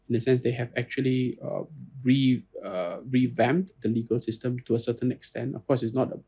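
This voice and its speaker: A man's voice: mid-range voice, steady tone, brisk, dark tone, husky. A man with a Singaporean English accent, answering interview questions earnestly, restarting phrases and often saying "you know". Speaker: guest lawyer.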